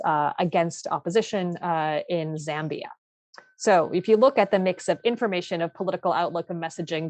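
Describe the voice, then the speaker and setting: A woman giving a panel presentation, talking haltingly with many fillers and restarts. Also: fairly low pitch, dry recording.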